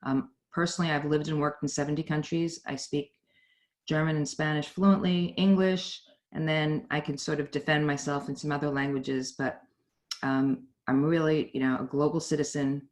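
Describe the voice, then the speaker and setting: A woman's voice: low-pitched, level tone, normal speed. A middle-aged woman hosting an informal livestream talk, rambling and jumping from one point to the next. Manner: rambling, digressing, frequently jumping between points. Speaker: founder and host of a business network talk.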